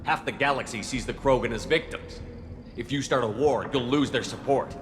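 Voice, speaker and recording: A man's voice: mid-range voice, normal speed, close to an everyday voice. A man playing a firm, level-headed human soldier in a video game, direct and probing. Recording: reverberant hall, music underneath.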